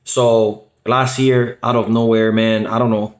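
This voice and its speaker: A man's voice: mid-range voice, level tone, measured pace. A middle-aged man chatting casually and earnestly as a video host, with emphatic repetition and slangy asides. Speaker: host of a reaction video channel. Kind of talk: casual chat. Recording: echoey, roomy sound.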